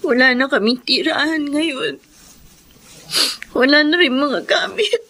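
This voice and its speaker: A very high, bright female voice plays a burdened elder sister. She is caring yet desperate, pleading with heavy emotion in Filipino radio drama style. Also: a little slow, full character voice. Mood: despairing. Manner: emotional, tearful, pleading delivery.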